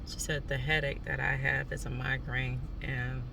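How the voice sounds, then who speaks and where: A woman with a raspy voice chatting casually in a home vlog, full of ums and restarts, with her throat a little sore. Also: rumbling low, level tone, moderate pace, far from the mic, noisy background. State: throat trouble, clears throat. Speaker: vlogger.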